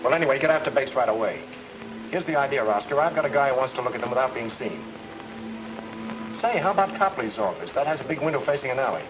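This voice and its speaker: An actor playing a confident private detective in an old-time radio noir drama, terse and commanding in manner. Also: male voice, medium pitch, lively intonation, fast, lightly acted, low-quality recording. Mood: brisk. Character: brisk, confident hard-boiled private detective. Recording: old radio recording with music.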